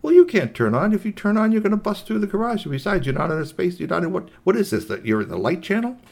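A man's voice: high-pitched, very animated, fast talker, creaky, a little mellow, pitched above the performer's own voice, exaggerated voice. A man voicing an irritated, argumentative toy in a children's story, cheeky, insistent and out to persuade. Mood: taunting. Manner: needling, insistent arguing. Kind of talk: improvised children's story dialogue.

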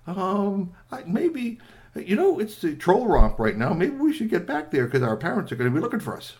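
A man's voice: medium pitch, very animated, moderate pace. A man voicing a nervous, timid young male troll who stammers and hesitates.

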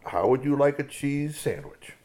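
A man voicing a cheerful, gentle outdoorsman who talks in a friendly, coaxing way in a storybook tale. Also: medium pitch, lively intonation, normal speed, voice pushed up, natural voice.

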